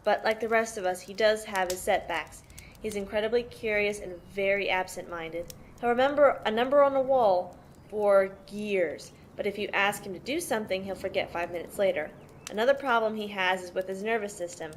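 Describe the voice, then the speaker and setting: A woman acting as a protective big sister with a medium-pitched voice. She is tough and matter-of-fact, explaining things like an interviewee in an amateur audio play. There is some noise on the recording. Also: normal speed, natural voice. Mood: explanatory. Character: protective, tough sister mothering five troubled orphaned brothers. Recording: noisy amateur home recording.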